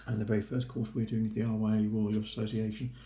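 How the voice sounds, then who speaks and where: Low, even-toned man, roomy sound. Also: fast. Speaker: vlogger documenting a boating course. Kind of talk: casual explanatory walkthrough. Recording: roomy echo with faint noise.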